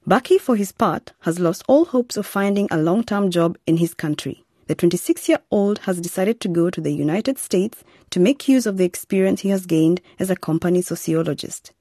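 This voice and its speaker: A woman's voice: fairly low pitch, moderate pace, few pauses, mellow, no room sound, clean studio sound. A woman presenting as narrator of a radio series, reading from a script.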